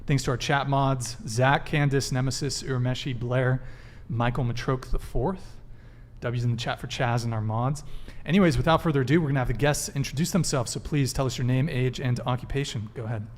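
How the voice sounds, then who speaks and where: A man hosting a live podcast, running through announcements and promos in a brisk patter with fillers. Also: mid-range voice. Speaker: podcast host.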